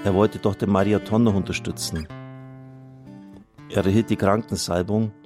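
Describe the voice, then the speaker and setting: Low-voiced man over guitar music. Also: fairly even intonation, moderate pace, darkish tone. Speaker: radio host and priest. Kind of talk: reading out listener testimonials and appealing for donations on air.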